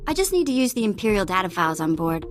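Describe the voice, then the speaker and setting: A woman's voice: mid-range voice, sing-song, fast talker, faint music behind, lightly acted. A woman playing a plucky young former slave companion in a video game, casual and frank. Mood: wistful, frank. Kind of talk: scripted video game dialogue acting.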